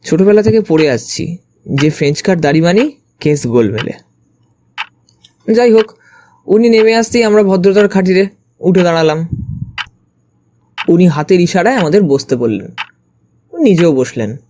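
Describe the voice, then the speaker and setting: Mellow, higher-voiced male story narrator. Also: animated, moderate pace, pauses often, a little hiss or hum. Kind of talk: first-person scripted detective story narration. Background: background music.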